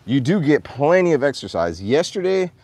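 A man's voice: a little high, moderate pace, no room sound. A man, vlogging casually outdoors on a farm, holding his phone at arm's length. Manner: chatty, animated, coos at his dogs. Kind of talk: casual vlog chat.

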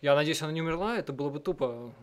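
A man doing let's-play narration, reading and translating text aloud in a halting, self-correcting way. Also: fairly high pitch. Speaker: game streamer and live translator. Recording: game music under the voice. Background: game music.

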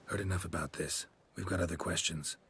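Gruff-mannered monster hunter, deep voice, short flat lines, video game. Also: male voice, brisk, a little hiss or hum. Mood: dry, firm. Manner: clipped, terse, deadpan. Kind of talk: fantasy video game acting.

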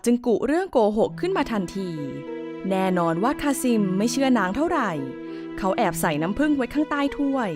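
A woman's voice: sits high, big pitch swings, a little fast. A woman reading a scripted fairy tale for children as a storyteller. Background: music.

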